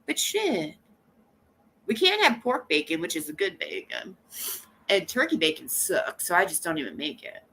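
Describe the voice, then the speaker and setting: Woman chatting on her stream, joking and cussing, bouncy pitch, some faint noise behind. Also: moderate pace. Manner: animated, joking, swears casually. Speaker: livestream host. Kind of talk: casual livestream chat.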